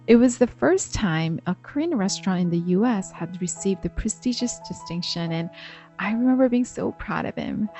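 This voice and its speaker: A woman's voice: medium pitch, darkish tone. A woman hosting a podcast, reading an earnest intro and then interviewing a guest. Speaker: podcast host.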